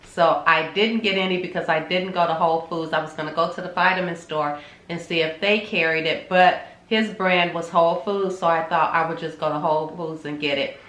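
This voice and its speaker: A woman's voice: lowish voice, fairly even intonation, brisk, fairly bright tone. Congested woman chatting in an echoey room. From Chicago. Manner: chatty, rambling, frequent ums. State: congested from a cold. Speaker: vlogger. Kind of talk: casual chat to camera.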